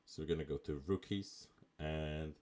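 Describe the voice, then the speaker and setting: A man with an extremely low, raspy voice talks through a game on a live stream. He keeps it relaxed and chatty, thinking aloud with plenty of casual asides into a desktop mic. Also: normal speed. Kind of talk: casual live gameplay commentary.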